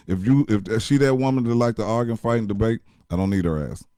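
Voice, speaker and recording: Blunt deep-voiced man, profane talk. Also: fast talker, mellow, dry recording, studio quality. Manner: blunt, profane, preachy advice. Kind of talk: casual chat.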